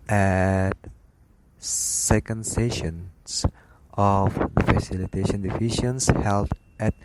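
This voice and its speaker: A man with a fairly low voice reads a lecture from his slides. He goes slowly and haltingly, a few words at a time, sometimes stumbling. There is clear background noise and clicking on the recording. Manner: halting, word-by-word reading with stumbles. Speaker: aviation lecturer. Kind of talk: read-aloud slide lecture.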